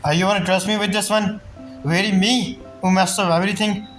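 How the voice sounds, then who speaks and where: A woman's voice: mid-range voice, steady tone, a little fast, low-quality recording. Female game streamer: casual reactions, joking asides at her own expense, music under the voice. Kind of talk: casual playthrough commentary.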